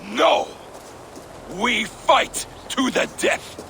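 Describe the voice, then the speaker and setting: A man's voice: extremely high pitch, animated, very slow, creaky, sharp tone, slight background noise, exaggerated voice. A man playing an angry, proud Viking warrior in a video game, declaiming in a defiant, accusing way. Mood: furious, shouting. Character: angry, proud male Viking warrior challenging his clan leader. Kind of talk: dramatic video game acting.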